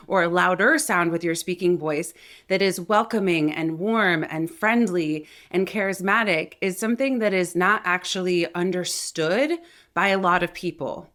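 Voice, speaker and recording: A woman's voice: fairly low pitch, fairly bright tone. A woman speaking as a voice coach and host, explaining things to viewers in an articulate, conversational way. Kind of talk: instructional talk to viewers.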